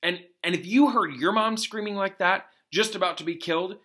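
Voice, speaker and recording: A man's voice: sits high, moderate pace, sharp tone, pitched above the performer's own voice. A man reading a storybook aloud as a troubled, brooding schoolboy wizard, defensive and impassioned.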